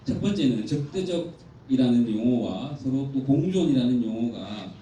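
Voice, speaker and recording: A man giving a formal, measured academic presentation from slides at a conference, explaining point by point. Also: medium pitch, lively intonation, warm tone. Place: indoor conference hall. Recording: echoey hall, distant mic, faint noise.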